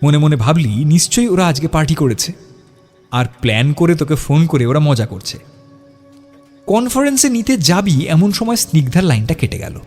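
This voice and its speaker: A man's voice: a little high, sing-song, moderate pace, darkish tone. A man narrating a scripted horror story in a dramatic, suspenseful storytelling style. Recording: music behind the voice. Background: music.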